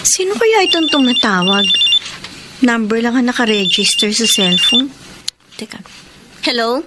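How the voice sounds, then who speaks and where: A woman's voice: on the high side, expressive, unhurried, heavy background noise. An actress playing a polite, hesitant young Filipino woman being courted in a radio drama. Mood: surprised, polite.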